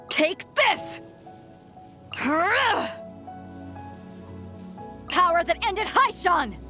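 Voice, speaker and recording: A woman's voice: extremely high pitch, pushed and bright, exaggerated voice. Game role: bold female fleet captain, generous, swaggering and hearty, easygoing confidence, music underneath. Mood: fierce battle shouts. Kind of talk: video game character voice lines.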